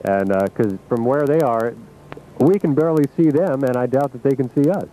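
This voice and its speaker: A man reporting live on radio news, unscripted, measured and reflective, with searching pauses. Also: mid-range voice, dark tone. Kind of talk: live unscripted event coverage. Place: outdoors at an airport. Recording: muffled, noisy 1980s radio aircheck.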